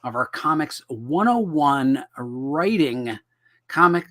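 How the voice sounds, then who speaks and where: Brief: middle-aged male host, slightly hoarse, jokey and self-deprecating, webcam sound. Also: fairly high pitch, expressive, takes it slowly, pushed and bright. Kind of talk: casual livestream teaching. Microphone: video call webcam.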